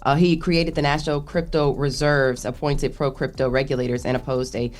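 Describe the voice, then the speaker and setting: Low-voiced American woman reporting news, haltingly. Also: flat delivery. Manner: halting, stumbling over words and restarting. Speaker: news reporter on a radio morning show. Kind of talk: news report with conversational asides.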